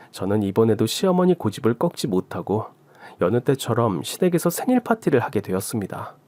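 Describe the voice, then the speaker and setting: A man's voice: medium pitch, darkish tone, dry recording. A man with a mid-range voice narrates a scripted first-person story. His intonation moves widely, and the recording is dry and close.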